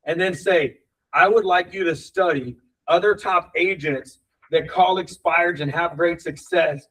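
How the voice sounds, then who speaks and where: A man's voice: fairly high pitch, fairly bright tone, distant mic, low-quality recording. A man leading a real estate team meeting, coaching in a blunt, passionate way and swearing casually.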